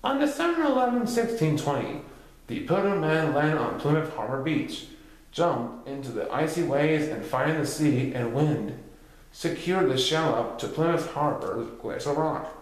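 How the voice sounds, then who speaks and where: A man's voice: on the high side, animated, normal speed. A man reading a written history story aloud as a narrator, occasionally stumbling over a word. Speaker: reader. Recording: very echoey, reverberant room.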